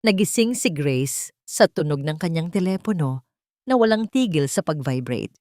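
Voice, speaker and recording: A woman narrating a scripted audio drama in a measured, suspenseful way. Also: deep voice, animated, darkish tone, no room sound, clean studio sound.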